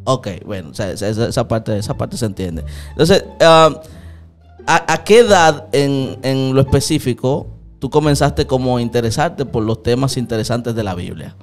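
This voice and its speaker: A man's voice: mid-range voice, animated, moderate pace, soft background music. A young man hosting a podcast from a small studio, teasing and hyping his guest in casual Spanish. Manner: playful, hypey, teasing. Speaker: podcast host. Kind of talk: casual interview. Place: small studio with purple lights. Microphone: studio mic on stand, headphones.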